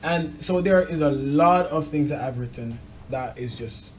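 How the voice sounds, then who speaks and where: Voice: mid-range voice, measured pace, crowd noise. A show host conducting a casual, conversational interview, asking questions and sharing personal thoughts.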